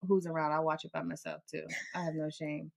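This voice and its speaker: A woman's voice: low-pitched, flat delivery, fairly quick, vocal fry. A young adult woman co-hosting a casual podcast chat, a bit nasal from allergies, joking and laughing often. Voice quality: nasal from pollen. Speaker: podcast co-host.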